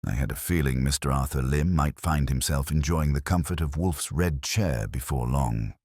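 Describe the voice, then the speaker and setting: A very deep, husky male actor's voice as a sardonic hardboiled detective's sidekick, telling a mystery audiobook. The delivery is dry and wisecracking, recorded close and dry. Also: normal speed, darkish tone, dry recording. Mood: wry, probing. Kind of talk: first-person detective audiobook narration.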